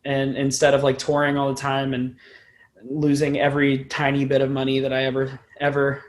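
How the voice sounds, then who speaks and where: A man's voice: medium pitch, completely flat delivery, normal speed. A man in his twenties chatting casually as a musician being interviewed, rambling and self-deprecating. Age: 20s. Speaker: podcast guest, band musician.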